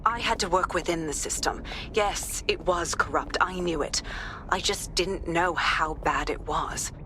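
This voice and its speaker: A woman's voice: lowish voice, unhurried, background noise, close to an everyday voice. A woman playing a hard-bitten, determined police inspector in a game, speaking firmly and bitterly. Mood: defensive, earnest. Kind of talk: game cutscene briefing.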